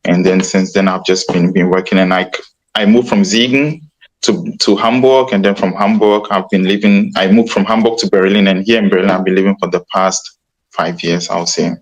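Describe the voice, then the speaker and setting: A man in his thirties with a somewhat low voice, a guest in a casual interview. He talks in a relaxed way and often repeats words as he restarts a thought. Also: moderate pace, lo-fi sound. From Ghana.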